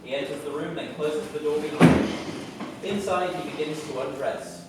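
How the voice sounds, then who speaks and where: A man's voice: a little high, moderate pace, heavy background noise. Distant male narrator in a very echoey room. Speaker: stage directions reader. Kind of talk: scripted narration at a staged reading.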